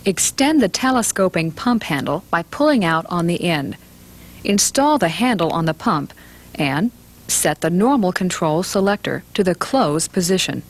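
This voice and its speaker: Woman, low voice, husky, scripted instructional narration, measured, matter-of-fact. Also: expressive. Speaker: training film narrator.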